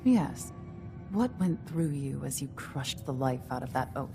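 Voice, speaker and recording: Haughty, low-voiced gang boss. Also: female voice, lively intonation, unhurried, over music, exaggerated voice. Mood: sardonic, probing. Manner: refined, condescending, sardonic. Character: haughty, calculating female leader of a money-loving gang. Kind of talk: video game dialogue acting.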